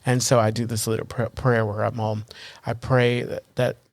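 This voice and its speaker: A man co-hosting a recovery podcast in casual chat, talking in an animated, rambling way full of restarts and self-deprecating humor. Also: medium pitch, normal speed, dry recording. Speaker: podcast co-host.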